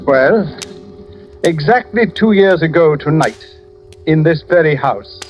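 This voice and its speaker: An actor playing a self-satisfied, shrewd detective with a measured, theatrical manner in an old radio drama. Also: male voice, fairly high pitch, expressive, highs cut off, faint music behind. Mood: smug, theatrical. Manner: pompous, measured, assured. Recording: noisy old radio recording with clicks.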